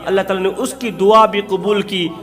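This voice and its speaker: High-pitched man preaching emotionally. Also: steady tone, moderate pace, fairly bright tone. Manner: impassioned, exhortatory, emphatic repetition in short rhetorical phrases. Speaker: Islamic preacher. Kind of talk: emotional sermon with moral storytelling.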